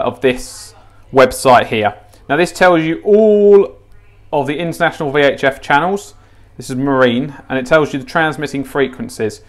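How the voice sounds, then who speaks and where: Young british guy in his 20s, medium pitch, chatty matter of fact unboxing and how-to talk. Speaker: fishing channel host demonstrating gear. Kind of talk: casual product unboxing and tutorial. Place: home kitchen, indoors.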